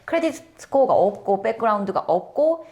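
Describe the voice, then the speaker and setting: A woman real estate agent giving practical rental advice in a relaxed chat, drawing on client cases. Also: medium pitch, fairly quick. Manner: clear, practical, answers with client examples. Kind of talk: conversational advice talk.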